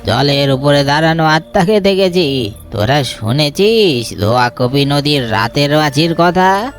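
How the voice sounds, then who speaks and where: A man's voice: fairly high pitch, lively intonation, a little slow, soft background music, voice pushed up. A man voicing a grave old village boatman who gives ominous warnings in a storybook ghost tale. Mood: stern, ominous. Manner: ominous, admonishing.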